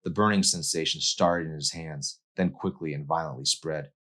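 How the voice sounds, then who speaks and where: A man's voice: extremely low pitch, moderate pace, bright, forceful tone. A man reading a novel aloud in an intense, theatrical narrating style. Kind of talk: dramatic audiobook narration.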